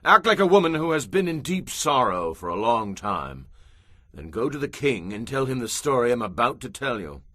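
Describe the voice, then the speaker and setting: Audio Bible drama: emphatic court messenger, high animated male voice, very bright, dry sound. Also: moderate pace, no echo. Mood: scheming, instructing. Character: urgent, forceful royal courtier bringing news and counsel.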